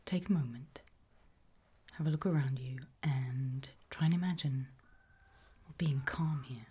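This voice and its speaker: Slow whispering British woman, low and hushed. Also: a little hiss or hum. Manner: hushed, slow, reassuring, with long pauses. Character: calm, quietly reassuring gravedigger, eerily matter-of-fact. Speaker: ASMR roleplay performer. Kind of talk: whispered creepy ASMR roleplay.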